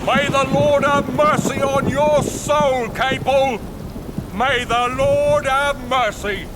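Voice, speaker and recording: A dogged, defiant tracker in a gothic audio drama. He speaks slowly and earnestly in a high voice, over clear outdoor background noise. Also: fairly even intonation. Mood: reproachful. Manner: solemn, plain-spoken, earnest. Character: grave, dogged, defiant tracker serving a doomed lord.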